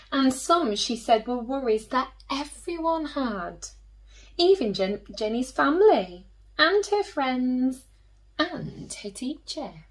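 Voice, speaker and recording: Animated, slow-paced woman reading to children. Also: fairly high pitch. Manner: gently questioning, addressing young listeners. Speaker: children's storyteller, teacher. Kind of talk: expressive read-aloud for young children.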